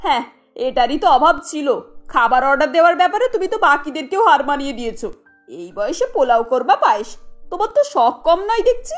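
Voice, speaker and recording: A high-voiced, bossy mother-in-law from a family cartoon, acted by a woman. She scolds in exasperation and hands out orders like the head of the household, with music playing behind her. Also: very animated, fairly quick. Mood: scolding, exasperated. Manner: scolding, commanding.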